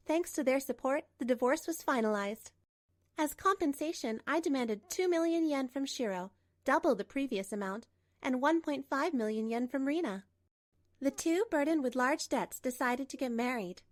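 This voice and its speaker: High-voiced, coolly resolute betrayed wife played by a woman. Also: dry recording, studio quality. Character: betrayed wife, composed, coolly resolute, narrating her story. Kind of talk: scripted manga dub narration and dialogue.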